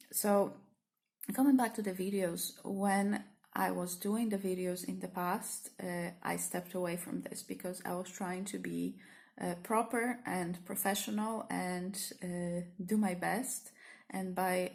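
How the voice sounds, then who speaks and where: A woman with a Polish accent shares spontaneous reflections with her viewers, pausing often on fillers and starting over. Her voice sits low and gravelly, and her pitch stays flat and even throughout. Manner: hesitant, many fillers and restarts, earnest. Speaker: spiritual teacher and vlogger. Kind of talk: spontaneous reflective monologue to camera.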